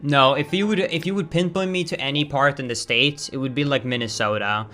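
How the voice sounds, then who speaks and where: Bright-voiced Swedish man streaming. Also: mid-range voice, fairly quick. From Sweden. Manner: casual, chatty, swears and flares up over game lag. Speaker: game streamer. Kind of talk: live-stream gameplay commentary.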